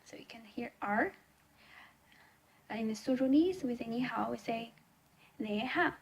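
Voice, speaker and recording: Young female tutor, Chinese accent, slightly high voice, earnest, fillers, laptop webcam at home. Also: expressive, a little slow, gravelly, slight background noise. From China. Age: young adult. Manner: earnest, gently explaining, many ums and restarts. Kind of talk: casual teaching to camera. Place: small indoor room at home.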